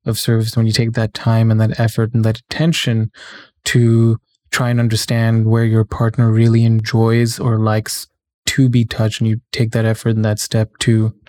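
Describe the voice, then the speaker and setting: A man's voice: medium pitch, a little mellow, no room sound. A man hosting a podcast solo in casual chat, rambling and stammering as he searches for words, close on a studio mic. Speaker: podcast host. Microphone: close studio mic on a stand.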